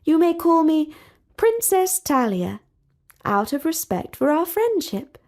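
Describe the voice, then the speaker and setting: Woman reader as poised young princess, prim storybook voice, high and cool. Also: very animated, takes it slowly, darkish tone, heavily acted. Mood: formal. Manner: precise, formal, unruffled. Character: poised proper young princess, coolly formal.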